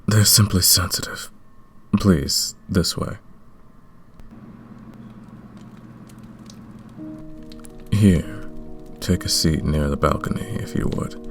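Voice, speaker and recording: A man playing a courtly, commanding, lovestruck vampire king in a romantic ASMR roleplay, speaking in a formal, deliberate way. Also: rumbling low, gravelly. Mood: gracious, courteous.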